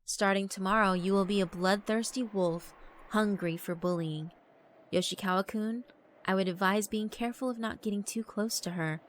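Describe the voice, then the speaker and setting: A woman's voice: medium pitch, normal speed, slight background noise, natural voice. Woman playing a cackling, cruel yokai girl. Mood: gleeful. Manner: taunting, cackling, theatrical. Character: gleeful scheming yokai sister, cruel and mocking.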